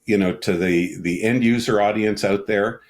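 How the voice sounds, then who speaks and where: A man speaking as a guest in a casual panel discussion, blunt and wry in his opinions. His voice is very low, near the bottom of the male range. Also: steady tone, normal speed.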